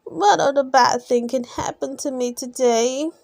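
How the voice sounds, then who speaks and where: A woman's voice: high voice, steady tone, a little slow, vocal fry, darkish tone, no echo. A woman voicing a hapless, polite male raccoon from a children's storybook, plaintive and fretful. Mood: worried.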